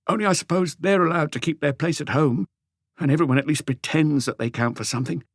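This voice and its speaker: A man voicing an anguished, lonely, meek husband in an audiobook, pleading and rambling haltingly through a confession. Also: a little high, no room sound, clean studio sound, pitched above the performer's own voice. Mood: bitter, hurt. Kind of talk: audiobook character dialogue.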